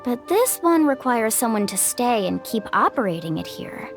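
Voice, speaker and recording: A woman's voice: a little high, big pitch swings, moderate pace. An actress playing a friendly, encouraging young woman guide who leads the group in a video game. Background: game music.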